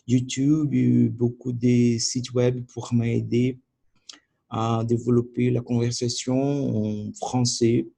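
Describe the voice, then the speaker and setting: A man whose first language is Portuguese, speaking French as a learner with a Portuguese accent in a video diary, halting and searching for words with many restarts. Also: medium pitch, flat delivery, vocal fry, warm tone, slightly rough. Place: at home by his computer.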